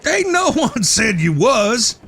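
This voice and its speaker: A man's voice: very high-pitched, big pitch swings, slow pace, gravelly, a bit bright, husky, exaggerated voice. A man playing a folksy, wry older cowboy brother with an American Western drawl, ribbing his kin in an audio drama. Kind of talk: audio drama acting, Old West dialogue.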